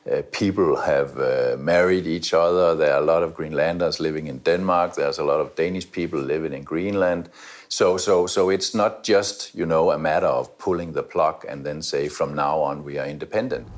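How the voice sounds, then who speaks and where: A man's voice: a bass voice, fairly even intonation, moderate pace. A man answering interview questions hesitantly, with many fillers and restarts. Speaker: interviewee on politics.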